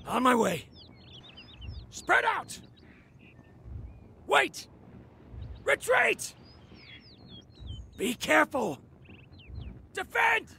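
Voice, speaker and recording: An actor voicing a cynical, laid-back male huntsman with a raspy voice and wry humour for a video game. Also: very high voice, sharp tone, slight background noise, heavily acted. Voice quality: gravelly, raspy.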